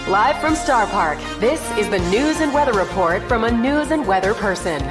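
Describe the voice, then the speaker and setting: An actress playing an insistent radio news announcer, repetitive and emphatic in jingle style over music. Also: female voice, on the high side, expressive, moderate pace, natural voice. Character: chanting radio jingle announcer woman, insistent and bright-mannered. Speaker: station announcer.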